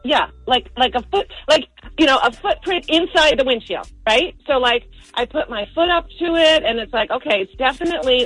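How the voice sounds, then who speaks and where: Animated, chatty woman calling a radio show. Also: high voice, no echo, low-quality recording. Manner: informal, peppers talk with "like". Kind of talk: chatty personal storytelling.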